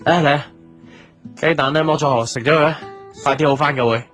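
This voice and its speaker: A man's voice: fairly high pitch, steady tone, quick pace, lightly acted. Subdued, reflective big brother played by a man. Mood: subdued, resigned. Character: patient, restrained, lovelorn big brother and narrator. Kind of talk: audio drama dialogue and reflective narration. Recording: soft guitar music behind.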